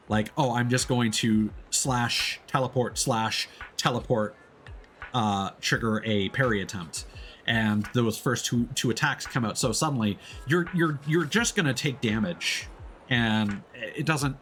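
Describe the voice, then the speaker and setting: A man giving casual, off-the-cuff commentary as a livestreamer, thinking aloud in a halting way. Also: medium pitch, a bit bright, soft background music. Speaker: livestreamer, gamer. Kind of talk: casual gaming stream commentary.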